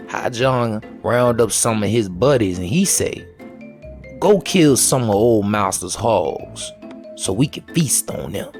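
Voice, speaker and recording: Slow-talking male folktale storyteller over music. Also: medium pitch. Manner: folksy vernacular, unhurried. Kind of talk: dramatic oral folktale storytelling.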